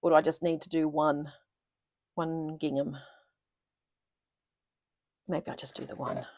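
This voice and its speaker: A woman's voice: low voice, flat delivery, a little slow, darkish tone. Chatty sniffly woman crafting live. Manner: chatty, thinking aloud, laughs often. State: sniffly from a cold. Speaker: craft demonstrator, live stream host. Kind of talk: casual live crafting chat.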